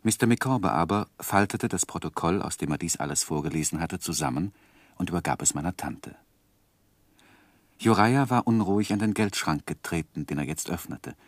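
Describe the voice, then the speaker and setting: An actor playing a composed first-person narrator in a literary radio drama, measured and articulate. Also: male voice, deep voice, animated, dry recording. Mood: composed suspense. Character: composed male narrator recounting his own life story.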